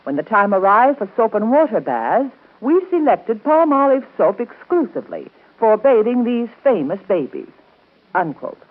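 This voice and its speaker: A woman's voice: on the high side, sing-song, normal speed. A woman reading a scripted 1930s radio advertisement, addressing the listener directly with emphatic persuasion. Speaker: commercial spokesperson. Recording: tinny, band-limited 1930s radio broadcast.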